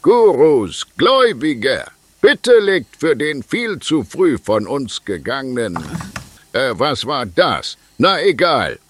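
A man's voice: medium pitch, very animated, slow pace, slight background noise. A man playing a pompous, easily flustered guru who addresses his followers in a declamatory, mock-solemn way in a comedic radio play. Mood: exasperated, pleading. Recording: loud crowd behind the voice.

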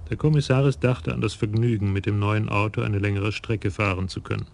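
A man narrating a radio crime drama in an even, measured storytelling manner. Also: on the low side, gravelly.

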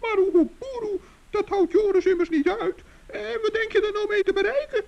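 A kindly little gnome man in a children's radio play. His voice is extremely high and creaky, with an earnest, peacemaking manner. The old recording sounds dull. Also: normal speed. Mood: earnest, concerned. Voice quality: creaky, squeaky.

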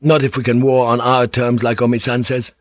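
A man's voice: mid-range voice, flat delivery, brisk, dry recording, pitched above the performer's own voice, lightly acted. A man voicing a weathered one-eyed samurai soldier who speaks plainly and bluntly.

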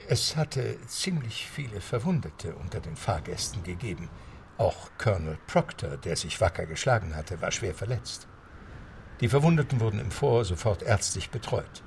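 A man giving steady, scripted storytelling narration for a radio drama. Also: fairly low pitch, vocal fry, a little hiss or hum.

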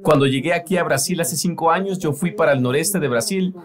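Earnest higher-voiced male preacher. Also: brisk. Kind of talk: sermon voice-over translation.

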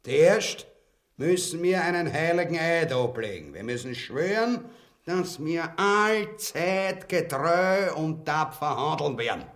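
Ein Schauspieler spielt in einer grotesken Hörspielfarce einen polternden, herrischen, vulgären Verschwörer mit gespielt feierlicher Art. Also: male voice, fairly high pitch, expressive, slow, fairly bright tone, heavily acted. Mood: bossy, solemn. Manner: mock-solemn, blustering, repetitive. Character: bumbling, bossy, vulgar male plotter and would-be usurper. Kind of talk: grotesque farce radio acting.

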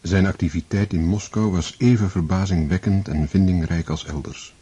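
A man narrating a historical radio play in a sober, measured, literary manner with a dark, husky voice. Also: low voice, fairly even intonation, highs cut off. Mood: sober, reflective.

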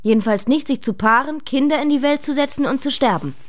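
An actress playing an eager, headstrong woman full of wonder in a radio drama, speaking with excited emphasis. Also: high voice, fairly quick, close to an everyday voice. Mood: indignant.

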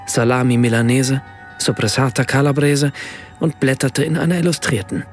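A man's voice: mid-range voice, natural voice. An actor playing a reflective German writer and father, narrating his own everyday story in a scripted radio play. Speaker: first-person narrator.

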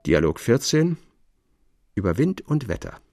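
A man narrating a literary radio drama in a measured, formal storytelling manner. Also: medium pitch, very animated, creaky, over loud music.

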